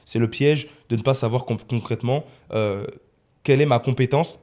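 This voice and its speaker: A man's voice: mid-range voice, moderate pace, darkish tone. A man of twenty-one hosting a casual podcast in a studio, rephrasing his points for emphasis. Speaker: podcast host, freelance video editor.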